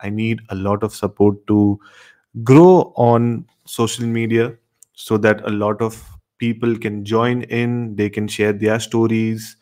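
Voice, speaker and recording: Male horror show host, slightly low register, informal and addressing listeners directly. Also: fairly even intonation, slow pace, darkish tone. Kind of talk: casual host sign-off to audience.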